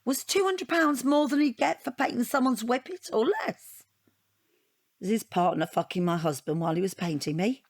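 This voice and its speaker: An actress playing a wounded wife in an audio drama, rambling in a wry, deadpan and digressive way. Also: female voice, a little high, normal speed. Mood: bitter, wondering. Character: wounded, rambling wife dissecting her unwanted portrait gift. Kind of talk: audio drama monologue and dialogue.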